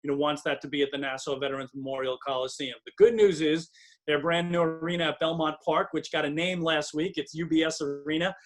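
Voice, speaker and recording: Male sports broadcaster as interview guest, slightly high voice, very quick delivery, emphatic and detailed. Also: steady tone. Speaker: radio play-by-play hockey broadcaster, interview guest. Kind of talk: interview answers, sports analysis.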